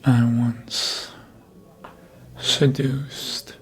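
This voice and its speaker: An actor playing a seductive, manipulative vampire in a hushed, breathy ASMR roleplay whisper, teasing and deliberate, close to the microphone. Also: little pitch movement. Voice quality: breathy whisper and low murmur. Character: seductive, manipulative elder vampire toying with a fledgling.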